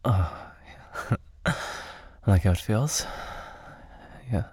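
A man whispering very slowly into a close microphone, his voice husky and airy. He slips between hushed words and low moans and sighs. The sound is dry, with no room around it. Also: lively intonation, no room sound. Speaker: audio roleplay performer. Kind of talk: intimate whispered audio roleplay.

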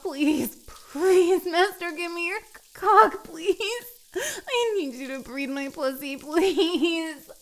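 A young woman voicing a needy, submissive catgirl in an adult audio roleplay, with breathy, sighing, stammering pleas. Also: high voice. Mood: desperate begging.

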